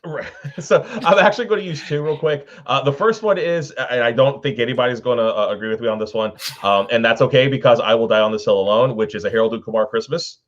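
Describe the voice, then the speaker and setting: Excited guy gushing on a movie panel call, average pitch, bit lo-fi. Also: fast, hardly any pauses, a little hiss or hum. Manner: enthusiastic, emphatic. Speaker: podcast panelist. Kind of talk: casual panel chat about films. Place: video call. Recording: slightly dull, lo-fi call audio.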